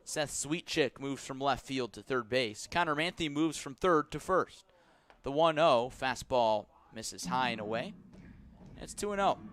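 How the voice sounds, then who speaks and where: A man calling baseball play-by-play live on the radio, with punchy calls between measured narration. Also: mid-range voice, a bit bright. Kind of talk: live radio sports commentary.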